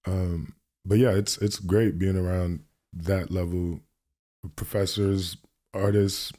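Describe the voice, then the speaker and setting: A young man from New York City, a guest artist talking in a relaxed interview with lots of fillers and restarts. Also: low voice, slow. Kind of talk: casual interview conversation.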